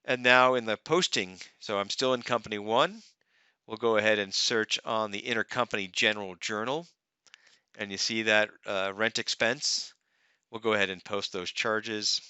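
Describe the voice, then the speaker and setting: A man presents a software walkthrough in a clear, resonant and fairly low voice. His delivery is explanatory and conversational, moving step by step. The close-miked voice-over sounds very dry. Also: moderate pace, no echo, dull audio. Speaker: software demonstrator. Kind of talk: narrated software tutorial, semi-scripted walkthrough. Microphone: close microphone, screen-recording voice-over.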